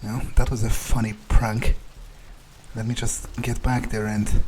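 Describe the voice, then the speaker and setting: A man giving in-character game commentary, muttering his thoughts aloud in a halting, sweary, wryly understated way. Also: on the low side, little pitch movement, a little mellow, a little hiss or hum, rough recording. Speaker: video game player narrating in character. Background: game music.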